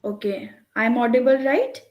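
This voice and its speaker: A woman's voice: a little high, animated, normal speed, darkish tone. A woman giving an online lecture, explaining at length and checking in with "right?". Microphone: computer mic on a video call. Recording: echoey room.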